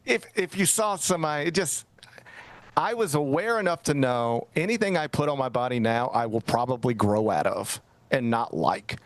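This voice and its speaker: A man's voice: mid-range voice, lively intonation, moderate pace, dry recording. A middle-aged man hosting a sports talk show, chatting casually and telling a rambling, self-mocking story. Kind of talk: casual radio-style chat.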